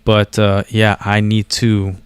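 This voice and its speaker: Man, non-native English speaker, smooth clear voice, dry close sound, informal slangy vlogger, hesitant rambling delivery. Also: lowish voice, monotone, slow, dry recording. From born abroad, moved to the US as a child. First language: a language other than English. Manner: informal, slangy, self-deprecating, hesitant and meandering. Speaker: vlogger talking to viewers. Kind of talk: casual rambling chat to camera.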